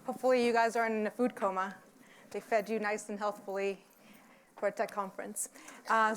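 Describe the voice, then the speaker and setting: A woman's voice: on the high side, slight background noise. Woman moderating a conference panel. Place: conference stage.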